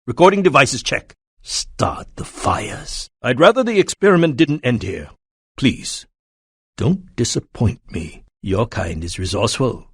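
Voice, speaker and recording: A man's voice: on the low side, breathy edge, no echo, studio quality, full character voice. A man playing a cold, polite, menacing syndicate scientist in a video game, speaking in a formal, clinical, condescending way. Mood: clinical, curious. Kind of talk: video game villain voice acting.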